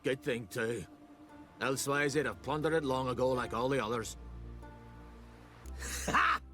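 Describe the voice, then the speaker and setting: An actor playing an eager, eccentric island scholar in a fantasy game, using folksy archaic words. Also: male voice, medium pitch, sing-song, measured pace, sharp tone, soft background music. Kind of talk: video game cutscene dialogue.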